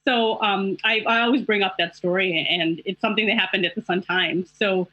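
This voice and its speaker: A woman's voice: medium pitch, fairly even intonation, brisk, sharp tone, dry recording. A middle-aged woman journalist speaking in a panel discussion, talking in a reflective, candid way with many fillers. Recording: dull lo-fi call audio.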